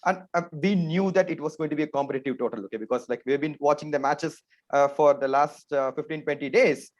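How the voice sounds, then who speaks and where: A man's voice: on the high side, a little fast. A man with an Indian accent hosting a casual sports chat, conversational, often tossing tag questions to his co-panelists. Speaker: host of a cricket discussion show. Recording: dull, lo-fi band-limited sound.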